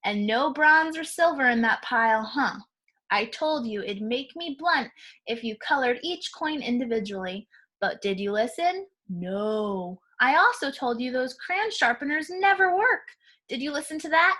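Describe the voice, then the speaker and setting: A woman's voice: high-pitched, lively intonation, a little slow, sharp tone, exaggerated voice. A woman voicing an indignant storybook crayon that scolds its owner with sarcasm. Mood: sarcastic, scolding.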